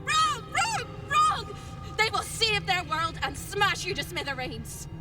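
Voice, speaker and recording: Manic captive woman, very high voice, wildly swinging delivery, defiant, excitable, game audio, loud music. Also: slow, far from the mic, exaggerated voice. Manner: theatrical, erratic, excitable. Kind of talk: video game character acting.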